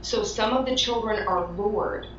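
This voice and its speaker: A woman's voice: mid-range voice, measured pace, fairly bright tone. A woman giving earnest, emphatic testimony as an interview guest. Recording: echoey, distant, dull, faintly noisy.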